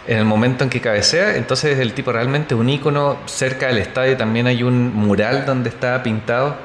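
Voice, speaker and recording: A man's voice: medium pitch, fairly even intonation, normal speed. A man with a Chilean accent, casually telling stories as a podcast guest, with frequent restarts. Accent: Chilean Spanish. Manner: storytelling, many restarts and fillers. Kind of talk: casual chat. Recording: echoey room with faint noise.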